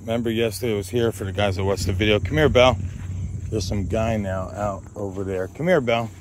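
A man's voice: on the low side, fairly quick, slight background noise. A man chatting casually and rambling as he vlogs outdoors, trying out handheld radios with short test calls. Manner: rambling, talks to his dog. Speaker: vlogger testing handheld radios. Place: outdoors in a grassy field by woods.

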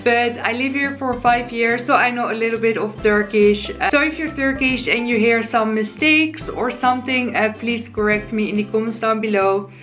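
A woman in her twenties with a Dutch accent and a fairly high voice speaks in a chatty, friendly way. She sits on a sofa in her living room, facing the camera, and slows down to spell out new words. Soft music plays faintly behind her. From Netherlands. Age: 20s. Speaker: YouTuber teaching words. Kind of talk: casual vlog lesson. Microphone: camera in front, seated.

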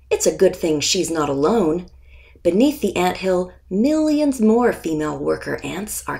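Slow-reading woman with a slightly creaky voice. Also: mid-range voice. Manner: deliberate, expressive, with pauses before key words. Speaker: author reading aloud. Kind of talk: scripted picture book narration for children.

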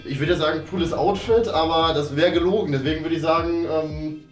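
A young man with a slightly high voice talks quickly as the host of a playful mock interview. He teases and jokes as he goes, with music playing behind him. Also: steady tone. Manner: teasing, jokey. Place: small furnished indoor room. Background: background music.

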